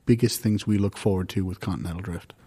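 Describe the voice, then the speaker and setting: A man improvising deadpan comic banter in a halting, musing, mock-serious way. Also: low voice, slightly rough. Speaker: improv comedy performer.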